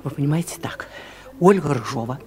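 A woman's voice: deep voice, lively intonation, fairly quick, a little mellow. An actress playing a chatty, insistent female office activist who gossips, in a radio comedy. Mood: confiding, urgent. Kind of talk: Soviet radio comedy acting.

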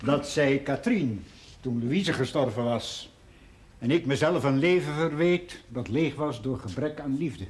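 A man's voice: medium pitch, expressive, a little slow, lightly acted. An actor playing a modest, widowed former schoolmaster in a television drama, earnest and formal in his speech. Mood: earnest, reflective. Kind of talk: television period drama dialogue.

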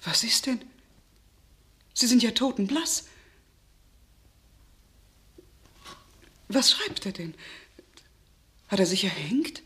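A woman's voice: fairly high pitch, very animated, a little slow, a bit bright. An actress playing a concerned, attentive society lady and confidante in a vintage German radio drama. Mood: anxious. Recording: faintly noisy 1960 radio recording.

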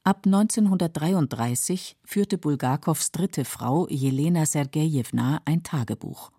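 A female documentary narrator with a deep, mellow, husky voice. She reads her script in a measured and sober way for radio, in a dry studio. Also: no echo.

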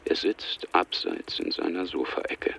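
A man narrating a radio drama in a hushed, atmospheric manner. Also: deep voice, measured pace, creaky, breathy edge, exaggerated voice.

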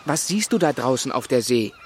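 An actor playing a concerned, gentle young gentleman in a radio drama, speaking earnestly. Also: medium pitch, sing-song, fairly quick, background noise, natural voice. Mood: uneasy, concerned.

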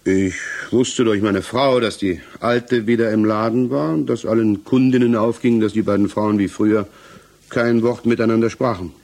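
A low-voiced village man played by a male actor, guarded and evasive under interrogation. His answers are plain and defensive, in the manner of sixties German radio drama. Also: fairly even intonation, normal speed, a little mellow, natural voice. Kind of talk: 1960s German radio play acting.

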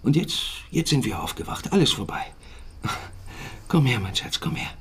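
A man's voice: mid-range voice, big pitch swings, normal speed, breathy edge. An actor playing an anxious, soothing father in a radio drama, speaking hesitantly and repeating words. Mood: soothing, uneasy. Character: anxious, soothing young father hiding something. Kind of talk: naturalistic German radio drama acting.

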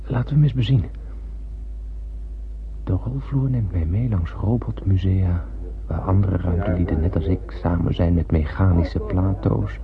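A man's voice: deep voice, unhurried. An actor playing a wondering, nervous spaceman who narrates a radio drama in a musing, theatrical way. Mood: musing, wry. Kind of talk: dramatic first-person radio play narration. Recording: narrow lo-fi old radio recording, faint noise.

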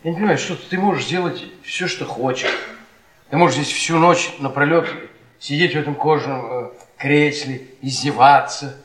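Casting: man playing embittered middle-aged academic husband, henpecked, needling and halting, theatrical radio drama, roomy echo. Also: a little high, a bit bright, natural voice. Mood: wounded sarcasm. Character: bitter, browbeaten middle-aged history professor husband. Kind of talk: theatrical radio play acting. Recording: echoey room, stage radio play.

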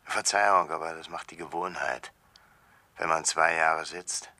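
Gravelly, menacing escaped convict. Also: male voice, a bass voice, steady tone, pushed and bright, exaggerated voice. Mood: sardonic menace. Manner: sardonic, deliberate, threatening. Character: menacing escaped male convict, bitter and mocking, seeking revenge. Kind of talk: radio crime drama acting.

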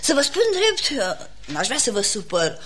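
An actress playing a chatty, insinuating village shop woman who flatters and gossips, in radio comedy style. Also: fairly high pitch, heavily acted. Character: gossipy, flattering Italian village haberdasher woman, falsely discreet. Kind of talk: classic radio theatre comedy acting.

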